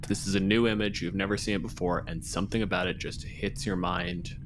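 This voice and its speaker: A man's voice: low-pitched, fairly even intonation, brisk, noisy background. A man in a tabletop roleplaying game, giving casual narration and banter.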